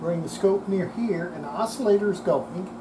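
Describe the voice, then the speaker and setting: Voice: medium pitch, moderate pace, background noise. A person demonstrating radio repair in a casual, plainspoken way, thinking aloud while working through the job. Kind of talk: casual hands-on workshop commentary.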